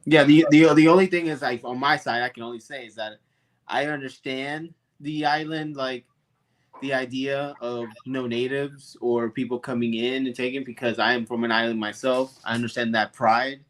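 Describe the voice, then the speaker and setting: A man from Puerto Rico, talking earnestly in a casual livestream chat, halting with fillers. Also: medium pitch, steady tone. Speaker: comic co-creator.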